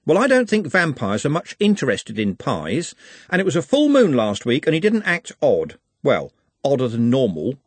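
A man's voice: mid-range voice, wildly swooping pitch, normal speed, no echo, pitched above the performer's own voice. A man voicing a blunt, cynical young working-class man in a storybook reading. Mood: sardonic. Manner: blunt, sardonic.

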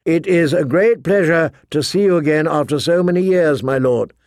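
A man's voice: on the high side, normal speed, a little mellow, no echo, natural voice. A man reads a resolute noble mother in a fantasy audiobook. The delivery is composed and assured, with a dry, close sound.

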